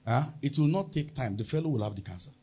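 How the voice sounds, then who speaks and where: A man's voice: mid-range voice, animated, fast talker, dark tone, slightly rough. A man with a Nigerian accent preaching a sermon as pastor, emphatic and leading the congregation in call-and-response.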